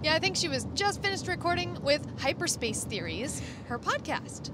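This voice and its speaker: Female co-host, high-pitched and very animated in pitch, casual banter. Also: moderate pace, a little hiss or hum. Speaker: podcast co-host.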